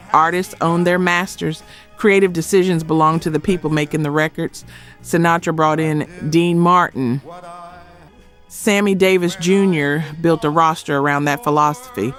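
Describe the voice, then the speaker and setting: A man delivering scripted documentary narration in emphatic short phrases with dramatic pauses. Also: fairly high pitch, fairly bright tone. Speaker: narrator of a music history video. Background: background music.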